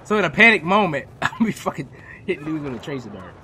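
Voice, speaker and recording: Lively bright-voiced young man gaming. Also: on the high side, fast talker, a little hiss or hum. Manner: chatty, swears often, laughs at himself. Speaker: gaming YouTuber. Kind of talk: casual gameplay commentary.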